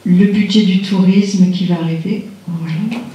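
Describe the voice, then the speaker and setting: A man's voice: sits high, fairly even intonation, unhurried, dark tone, highs cut off. A man presenting a budget at a council meeting, plainly reading figures with some hesitations. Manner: matter-of-fact, reads figures with hesitations. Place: large municipal function hall. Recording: echoey hall, mic far off.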